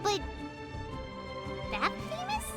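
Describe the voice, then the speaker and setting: Squeaky, very high childlike fairy girl. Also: huge pitch swings, extremely slow, full character voice. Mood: doubtful, flustered. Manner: squeaky, chirpy, refers to herself by name. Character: tiny, childlike, cheeky fairy companion girl. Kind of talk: video game voice acting. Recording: game dialogue over loud playful music.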